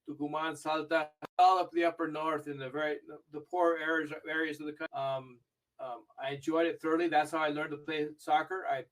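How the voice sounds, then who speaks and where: An older man who grew up in Argentina, telling stories in a chatty, animated way as a podcast interview guest. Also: fairly high pitch, far from the mic, rough recording. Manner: chatty storytelling, animated when recalling matches. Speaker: interview guest, video review manager.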